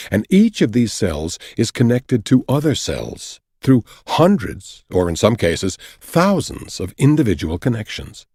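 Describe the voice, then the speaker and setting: A man narrating a nonfiction audiobook from a script in a measured, deliberate, explanatory way, with a husky voice. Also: fairly low pitch, a little mellow, no echo, clean studio sound. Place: dry recording studio.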